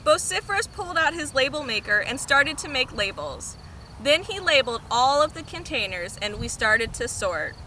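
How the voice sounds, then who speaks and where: A woman reads a children's story aloud in a high voice. Her delivery is expressive, with big swings in pitch. It is recorded outdoors on a camera, with clear noise around her. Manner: expressive, animated. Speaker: storytime reader. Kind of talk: children's picture book read-aloud.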